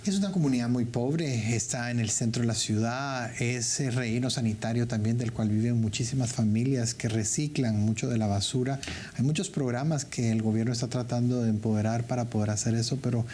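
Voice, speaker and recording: A man from Guatemala gives formal interview answers as a diplomat. His voice is mellow and warm, at a medium pitch. There is slight noise in the background. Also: fairly even intonation, fairly quick. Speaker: ambassador, studio guest.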